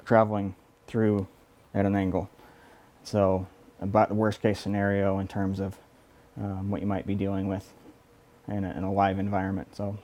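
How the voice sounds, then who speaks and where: A man hosting a casual audio equipment demo, explaining in a halting, loosely improvised way. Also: on the low side, mellow. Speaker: video host, audio equipment reviewer.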